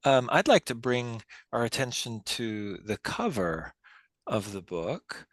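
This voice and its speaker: A man hosting an informal interview, tentative and halting, repeating words as he forms his questions. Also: medium pitch, big pitch swings, no echo. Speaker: host and interviewer at a book reading.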